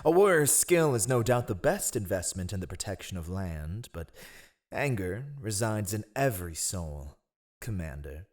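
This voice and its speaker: A man's voice: low voice, big pitch swings, moderate pace, a little mellow. Man acting as a sly, theatrically swooping fantasy spy master. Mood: sly, assured. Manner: silky, sardonic, theatrically formal. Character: sly, ruthless male spy master, mockingly courtly adviser to a monarch. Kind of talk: fantasy audio roleplay acting.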